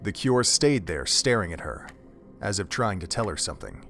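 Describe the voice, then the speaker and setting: Male narrator, lowish pitch, solemn storytelling, scripted audio drama, soft background music. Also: very animated, moderate pace.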